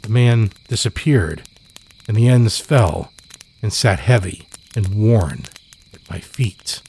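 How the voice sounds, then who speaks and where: A man's voice: fairly low pitch, animated, takes it slowly, a little mellow, a little hiss or hum, poor audio. A man reading scripted narration as a storyteller and podcast host.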